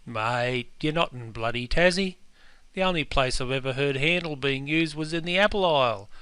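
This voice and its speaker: A man with an Australian accent playing a gruff, pedantic pub owner who scolds customers in broad slang, in a scripted comedy dialogue. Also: medium pitch, normal speed, sharp tone, no echo, lightly acted. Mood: scolding. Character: gruff, pedantic Aussie publican, quick to correct. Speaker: publican character.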